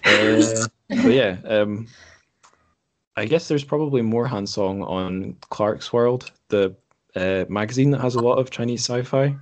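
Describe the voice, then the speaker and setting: A man's voice: fairly low pitch, a little slow. A man hosting a podcast in casual, unscripted chat, hesitant and correcting himself as he thinks aloud. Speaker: podcast host. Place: room in a home.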